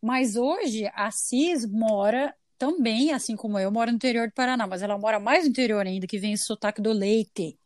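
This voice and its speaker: A woman's voice: a little high, moderate pace, few pauses, darkish tone, no echo, studio quality. Chatty Brazilian woman podcast host. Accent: mixed Brazilian Portuguese. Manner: chatty, laughing, playful asides. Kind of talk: casual chat.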